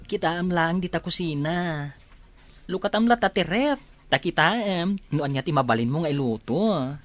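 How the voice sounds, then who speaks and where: Lowish female voice of an actress, practical and helpful family member, radio drama. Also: animated, normal speed, close to an everyday voice. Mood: matter-of-fact. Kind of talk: Ilocano radio drama acting.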